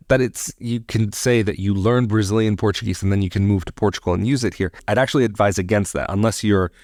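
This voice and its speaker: A man hosting a reaction video, speaking in a thoughtful, measured way with frequent hedges. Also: low voice, darkish tone, no echo, clean studio sound. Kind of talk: casual commentary. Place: living in Portugal.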